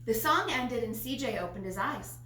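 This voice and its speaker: A woman's voice: on the high side, expressive, normal speed, pushed and bright. A woman reading a children's picture book aloud as narrator. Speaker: storybook reader. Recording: roomy echo, faint background noise.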